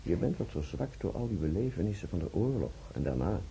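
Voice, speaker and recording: A kindly, paternal doctor in a radio drama. His voice is extremely deep and creaky, yet moves in lively swings as he advises. A faint hiss sits on the old recording. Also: a little fast, full character voice, low-quality recording. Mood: paternal, reassuring. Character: kindly, paternal hospital doctor, young man.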